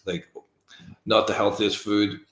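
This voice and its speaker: A man's voice: on the low side, flat delivery, slow, a bit bright, reverberant, poor audio. A man hosting an interview, speaking in a thoughtful, empathetic way with frequent hesitations. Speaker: interview host. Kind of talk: reflective conversational interview.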